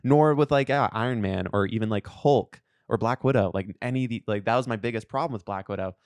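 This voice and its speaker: Dude podcast cohost, medium voice, super dry audio, casual halting chatty delivery. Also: male voice, mid-range voice, darkish tone, dry recording. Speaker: podcast co-host. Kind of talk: casual chat.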